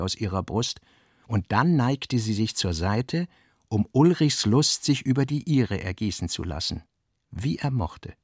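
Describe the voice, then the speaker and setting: A man reading literary prose as scripted narration, in a measured way with deliberate phrasing. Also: on the low side, dry recording, studio quality.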